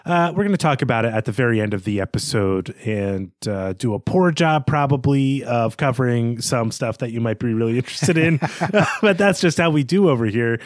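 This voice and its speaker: A man's voice: medium pitch, expressive, fairly quick, no echo, studio quality. A man co-hosting a podcast, explaining and thinking aloud in a conversational literary discussion. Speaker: podcast co-host.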